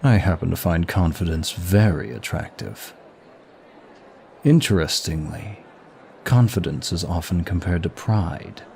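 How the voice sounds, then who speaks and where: A man's voice: deep voice, measured pace, creaky, darkish tone, slight background noise. A man playing a smug, seductive demon of lust in an intimate ASMR roleplay, with suave, teasing and formal flirtatious phrasing.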